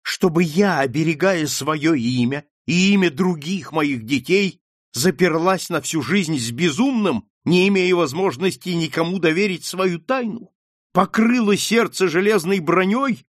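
A man's voice: a little high, animated, a little slow, dry recording, clean studio sound. A man voicing an anguished young daughter who pleads with her mother, speaking in an impassioned, rising way in an audiobook reading.

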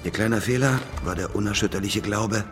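An actor playing an arrogant, aggrieved English detective in a radio drama, eloquent, theatrical and sardonic. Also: male voice, on the low side, brisk, over music, natural voice. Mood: cool, sardonic. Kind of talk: radio drama acting and first-person narration.

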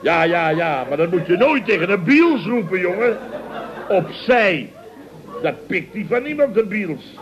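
An actor playing a pompous, blustering older boss in an old radio comedy, exclamatory and theatrical. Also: male voice, high voice, very animated, measured pace, a crowd in the background, heavily acted. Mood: wounded pride. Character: blustering, self-important older boss of a building firm. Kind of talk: 1960s-70s Dutch radio comedy acting. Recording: muffled old radio recording.

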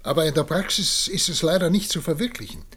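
A man's voice: on the high side, animated, quick pace, fairly bright tone, slight background noise. An actor playing a cautious, doubtful president in a German science-fiction radio drama, emphatic in his disbelief. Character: cautious, doubtful male president weighing advice.

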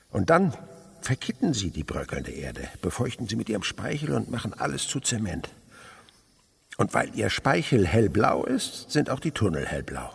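An actor playing an older naturalist uncle in a radio play, eager and tender, explaining with hushed wonder. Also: male voice, mid-range voice, vocal fry, husky, heavily acted. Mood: enthralled, explaining. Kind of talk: German radio play acting.

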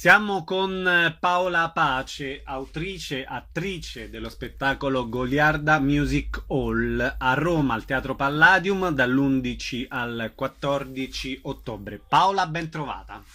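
A man's voice: mid-range voice, takes it slowly, few pauses, pushed and bright. A man hosting a radio interview.

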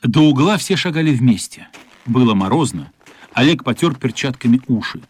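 A man with a husky voice narrating a radio drama as a storyteller. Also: medium pitch, expressive, normal speed.

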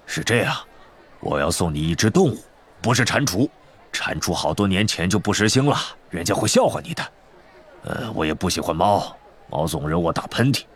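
A big, kindly giant from a storybook, acted out by a man. His voice is low, gruff and husky. He speaks bluntly, with animated swings in pitch. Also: moderate pace, exaggerated voice. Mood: chatty, kindly. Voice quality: hoarse, gruff.

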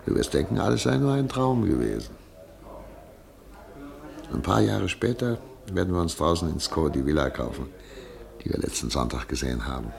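A very deep, gravelly German doctor in exile. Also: male voice, lively intonation, moderate pace, long pauses, full character voice. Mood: dreamy. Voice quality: creaky. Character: German émigré doctor, hopeful, eager, planning ahead. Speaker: Albrecht, a doctor. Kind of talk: radio play acting. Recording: music playing behind. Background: dance music.

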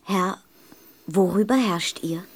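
A woman's voice: fairly low pitch, slow pace. A woman voicing a polite, curious and earnest little boy prince in a radio play.

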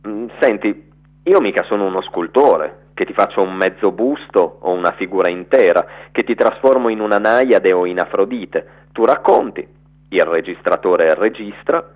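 A man giving a theatrical, storytelling reading of a literary letter for radio, in an expressive narrator's delivery. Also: lowish voice, muffled recording.

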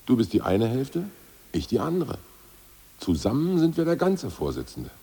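An actor playing a blunt, coarse ousted party official in a radio drama, with a gravelly, husky voice. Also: male voice, medium pitch, wildly swooping pitch, moderate pace, warm tone, full character voice. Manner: blunt, coarse, self-assured bluster. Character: ousted party boss, gruff cynical man. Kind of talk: 1980s radio drama acting.

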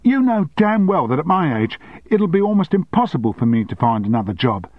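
A man voicing an indignant, sharp-tongued longtime woman secretary with cutting, sarcastic retorts, on a dry, slightly dull recording. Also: medium pitch, animated, normal speed, breathy edge, no room sound, voice pushed up.

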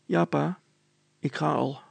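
A man's voice: a little high, steady tone, slow pace, vocal fry, husky, no room sound, voice pushed up, full character voice. A man voicing an obedient, apologetic young boy in a storybook, with eager, meek replies. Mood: meek, reassuring.

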